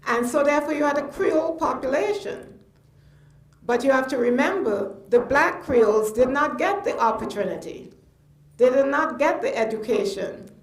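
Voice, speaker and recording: An older woman from Trinidad with a Trinidadian accent, speaking off the cuff at a public lecture in an emphatic, halting way. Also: sits high, animated. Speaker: guest lecturer. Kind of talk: spontaneous public lecture commentary. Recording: echoey room.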